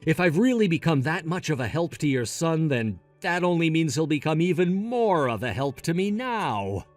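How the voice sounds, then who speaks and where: A man's voice: on the high side, big pitch swings, normal speed, a little mellow, no echo, faint music behind. A man playing an earnest, sentimental family friend in a melodramatic audio story, speaking with heartfelt sincerity. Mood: earnest, hopeful.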